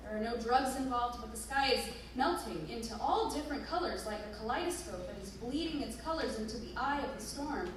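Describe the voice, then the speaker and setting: A woman performing a dramatic first-person story reading live, with expressive, deliberate phrasing. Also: fairly high pitch. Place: live stage reading in a museum hall. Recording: very echoey room, distant mic, noisy.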